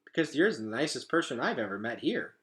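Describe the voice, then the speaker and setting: Young storybook boy, read by a man: bright, casual, polite, a little wary and awkward. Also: mid-range voice, big pitch swings, brisk. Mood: friendly, awkward.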